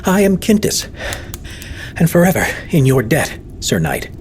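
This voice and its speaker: A man's voice: a little high, huge pitch swings, darkish tone, slight background noise, pitched above the performer's own voice. Man playing a swooping, humbled old drunken bard. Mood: humbled, grateful. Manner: breathless, halting, theatrical.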